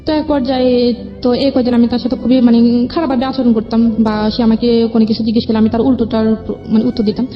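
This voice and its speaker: A woman's voice: fairly high pitch, level tone, fast. A young woman with a Bangladeshi accent tells her life story as an interview guest, in colloquial speech with reported dialogue. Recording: dull lo-fi sound with music underneath. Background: background music.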